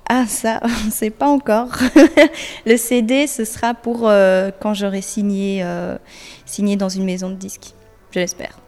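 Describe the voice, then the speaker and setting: A woman's voice: medium pitch, fairly even intonation, unhurried, darkish tone, faint music behind. Young woman singer answering, echoey room. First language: French. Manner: hesitant, many fillers and restarts. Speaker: singer-songwriter guest. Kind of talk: interview answers.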